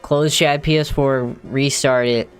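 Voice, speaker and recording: A streamer thinking aloud in a halting, casual way while doing live coding commentary, with an unclear, mid-range voice. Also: medium pitch, fairly even intonation. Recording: music playing behind the voice. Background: music.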